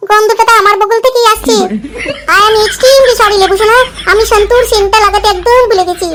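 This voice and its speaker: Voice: very high-pitched, fairly even intonation, a little fast, heavy background noise. A performer voicing a bossy, sweet-talking schoolgirl in a comedy cartoon. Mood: sweet, apologetic.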